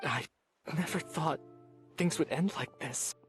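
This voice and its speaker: A woman's voice: low-pitched, measured pace, soft background music. A woman playing an emotional, intense young person in a video game drama. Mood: shaken. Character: young person pleading desperately with a stern parent figure.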